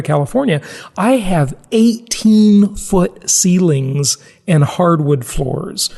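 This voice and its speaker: An American man hosts a casual podcast in a fairly high voice with a noticeable creak. He talks in a chatty, informal way, dropping in asides as he addresses his listeners. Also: darkish tone. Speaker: podcast host. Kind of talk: casual solo podcast talk.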